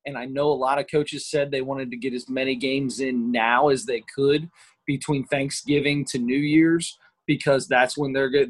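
A man co-hosting a casual sports podcast, upbeat and rambling, calling himself a positive thinker. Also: mid-range voice. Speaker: podcast co-host.

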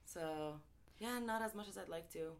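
A young woman from Iran with a fairly low voice talks things through in a relaxed interview. She pauses often on "um" and "like" as she feels her way to the point, and she laughs easily. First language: Farsi. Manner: thoughtful, frequent ums and likes, laughs easily. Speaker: interview guest. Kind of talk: casual conversational interview.

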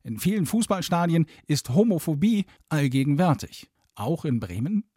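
A man hosts a radio podcast from a football stadium. His voice swings lively up and down over a dark, slightly husky tone. The sound is dry and close. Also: a little high, moderate pace, no room sound. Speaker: podcast host.

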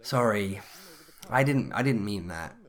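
A man's voice: on the low side, expressive, unhurried. Anxious husband with swooping intonation played by a man. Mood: downcast. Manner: hesitant, stammering. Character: anxious, eager-to-please social-climbing husband. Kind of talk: comedy audio drama acting.

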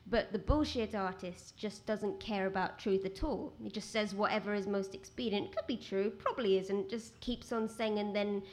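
Quiet-voiced woman, rambling. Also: medium pitch, normal speed, hardly any pauses. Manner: rambling, animated, many restarts. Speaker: guest writer. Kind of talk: conversational talk at a live event. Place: outdoor event with rain.

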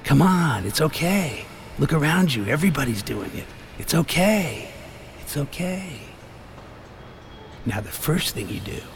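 A man voicing a fiendish, devilish tempter voice that coaxes and wheedles, climbing higher in pitch. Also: gravelly, a little hiss or hum. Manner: coaxing, wheedling, rising higher and higher.

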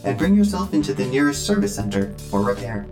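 An actor playing an officious, deadpan dystopian announcer reading a scripted warning for an audio drama. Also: male voice, fairly low pitch, quick pace. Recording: echoing voice over guitar music. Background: guitar music.

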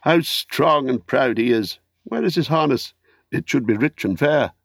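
A man's voice: mid-range voice, lively intonation, unhurried, vocal fry, no room sound, pitched above the performer's own voice. A hearty guardsman of a fantasy city, as a man reader plays him. He is friendly and hospitable, speaking in formal, archaic phrases with courteous ease. The recording is dry and close. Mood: admiring. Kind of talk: audiobook character acting.

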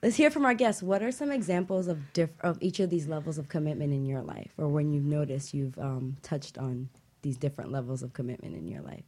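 A woman's voice: deep voice, fairly quick, dark tone. A female co-host of a talk show, chatting playfully and putting questions to the guests. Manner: playful, laughing. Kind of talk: casual talk show chat.

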